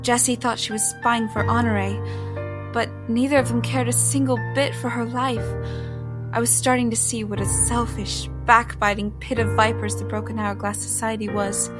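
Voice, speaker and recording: A woman's voice: a little high, steady tone, moderate pace, music in the background, lightly acted. A woman acting in an audio drama as an earnest, determined young infiltrator of a secret society. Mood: grave, reflective. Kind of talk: audio drama acting and first-person narration.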